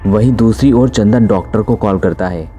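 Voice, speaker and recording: A man's voice: fairly low pitch, moderate pace, warm tone, soft background music. A man narrating a scripted horror story as its storyteller.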